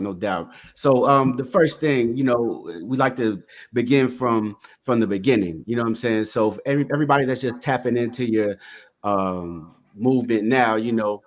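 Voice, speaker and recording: A man hosting a podcast in a laid-back way, asking questions and warmly affirming his guest. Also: medium pitch. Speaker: podcast host. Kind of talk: casual interview hosting.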